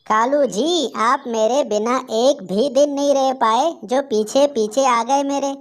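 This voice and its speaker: A woman plays a scheming female crow in a children's cartoon. She is a bossy, scolding wife with a fairly high voice that gives orders. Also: slow pace. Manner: scolding, commanding.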